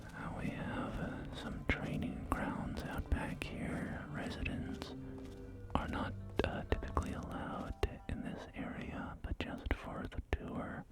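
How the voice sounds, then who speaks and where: A man voicing a polite wasteland real estate agent in a whispered ASMR roleplay, with formal, softly persuasive sales patter. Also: lightly acted.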